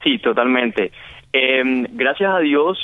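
A man's voice: medium pitch, animated, takes it slowly, no echo. A Cuban man with a Cuban Spanish accent, a guest actor chatting casually in an interview, laughing often and rambling with fillers. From Cuba. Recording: muffled lo-fi line.

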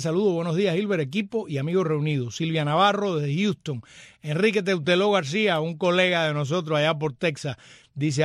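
A man hosting a live radio show, reading listener messages in a lively, joking way. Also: on the high side, no echo, studio quality.

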